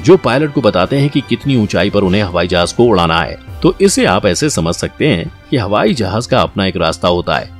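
Low-voiced man narrating an explainer, lively intonation. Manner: explanatory, addresses the listener directly. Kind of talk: scripted documentary-style narration. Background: background music.

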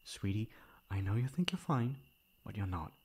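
A man's voice: lowish voice, big pitch swings, moderate pace, darkish tone. Man acting as a caring, teasing young boyfriend. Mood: concerned, coaxing. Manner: coaxing, breathy sighs, pet names. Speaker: voice actor playing a boyfriend. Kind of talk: ASMR boyfriend roleplay.

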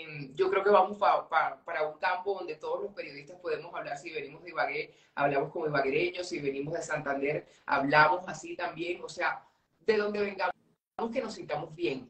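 A man's voice: high voice, fairly bright tone, recorded from a distance. A middle-aged man with a Colombian accent, chatting easily as a guest on a live video call and laughing often. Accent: Colombian Spanish. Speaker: guest presenter. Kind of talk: casual live interview. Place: home office with cabinets. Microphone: webcam on video call. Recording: roomy sound from the mic.

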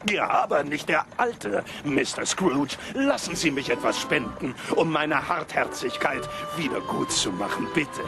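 Excitable old cartoon gent, theatrical, voice swooping all over, gravelly creak. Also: male voice, on the high side, unhurried, few pauses. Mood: warm, pleading. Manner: theatrical, exclaiming, chuckling. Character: excitable old miser turned joyful and generous. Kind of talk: German cartoon dub acting.

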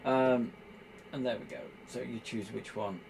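A man giving an informal hands-on tutorial, explaining step by step in a halting, hesitant way. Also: mid-range voice, fairly even intonation, gravelly, a little mellow, slight background noise, poor audio. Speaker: presenter demonstrating radio equipment.

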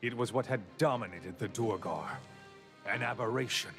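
An actor playing a grave adventurer narrating a quest in a fantasy video game, as a scripted storyteller. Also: male voice, mid-range voice, expressive, normal speed, gravelly, fairly bright tone, soft background music. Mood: grave, measured.

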